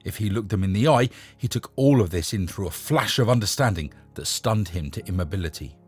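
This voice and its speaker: A man with a husky voice narrating a short story in a measured, expressive storytelling manner. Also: lowish voice, soft background music. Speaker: narrator and host reading short stories. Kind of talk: scripted story narration with a short casual introduction.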